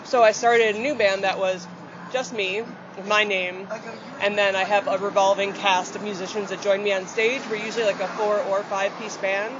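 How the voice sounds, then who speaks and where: Chatty woman singer on a noisy street. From rural Michigan, USA. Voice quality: deeper voice. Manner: chatty and open, with frequent fillers and light laughs. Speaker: country singer and accordionist. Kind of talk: casual interview answers. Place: outdoors on a city street. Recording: dull outdoor audio with loud traffic.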